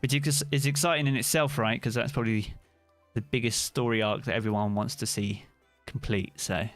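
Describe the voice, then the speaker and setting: A man's voice: mid-range voice, fairly even intonation, normal speed, fairly bright tone, no room sound, faint music behind. A British man streaming live, chatting casually and answering viewers' comments by name.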